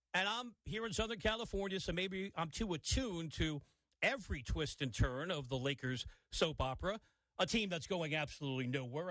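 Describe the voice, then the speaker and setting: A man's voice: sits high, a bit bright, no room sound. An older man hosting a sports talk radio show, giving an opinionated monologue with wry asides. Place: radio studio.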